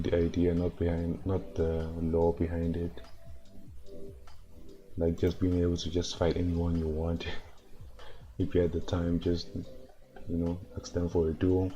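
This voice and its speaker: A man streaming from home, hoarse and worn out from no sleep, chatting loosely and chuckling as he reacts to animations. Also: low-pitched, mellow, muffled recording, a little hiss or hum. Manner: laid-back, rambling, chuckles often. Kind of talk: casual livestream chat and reactions.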